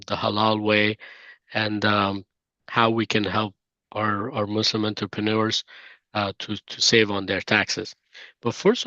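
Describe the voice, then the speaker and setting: Male podcast host, lowish flat delivery, halting, dull dry audio. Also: no room sound. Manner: halting, many "um" and "uh" fillers. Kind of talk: podcast interview.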